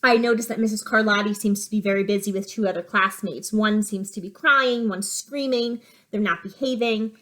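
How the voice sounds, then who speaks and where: A woman's voice: mid-range voice, normal speed, few pauses, a bit bright. A woman teacher giving a reading lesson for young children, reading a picture book aloud and explaining it in simple terms. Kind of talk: reading lesson with storybook read-aloud and asides.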